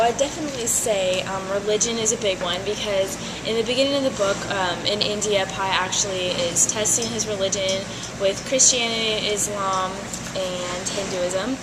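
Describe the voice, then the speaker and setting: A woman acting as an author in a school mock interview, talking in a halting way with many fillers. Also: medium pitch. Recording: loud noisy amateur recording.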